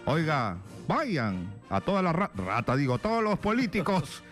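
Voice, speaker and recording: A man puts on a grandiloquent older Peruvian politician, pitched fairly high. He speaks like an orator, full of false humility and self-importance, with music playing behind him. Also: big pitch swings, a little slow, fairly bright tone. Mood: pompous, inviting. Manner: pompous, oratorical.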